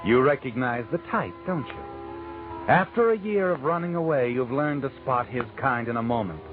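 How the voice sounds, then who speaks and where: An actor playing an ominous, all-knowing mystery narrator with an American accent, sinister and insinuating in 1940s radio style. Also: male voice, mid-range voice, lively intonation, normal speed, over music, natural voice. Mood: taunting, tense. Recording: vintage radio recording with narrow band.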